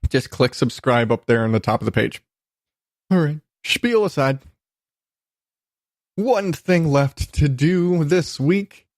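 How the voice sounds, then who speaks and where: A man's voice: a little high, animated, takes it slowly, long pauses, no echo, clean studio sound. A man hosting a podcast in a casual, ranting comic monologue, exasperated and emphatic, with plenty of swearing. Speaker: podcast host.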